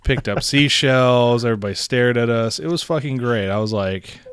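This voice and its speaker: A man's voice: medium pitch, normal speed, fairly bright tone, clear, resonant tone, no room sound. A young man from North Carolina co-hosting a casual podcast, telling stories in a rambling way with plenty of swearing and laughs. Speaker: podcast co-host. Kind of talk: casual chat.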